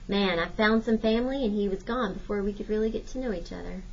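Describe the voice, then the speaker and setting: A woman's voice: medium pitch, fast talker, slight background noise. Woman reading a novel aloud, slightly muffled. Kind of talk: storybook narration. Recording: slightly dull, lo-fi audio.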